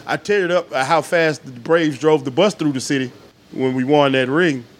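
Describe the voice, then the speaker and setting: A man's voice: a little high, moderate pace. A middle-aged man, a podcast guest telling loose, joking, profane stories in casual chat. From Atlanta, Georgia. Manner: laid-back, joking, profane storytelling.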